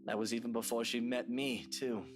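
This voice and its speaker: A woman's voice: extremely low pitch, steady tone, normal speed, pitched below the performer's own voice. A woman voicing a smug, sweet-talking rich uncle with a tender side, theatrical and affectionate, in a visual novel game. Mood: playful, tender. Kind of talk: voiced visual novel character. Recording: faint music underneath.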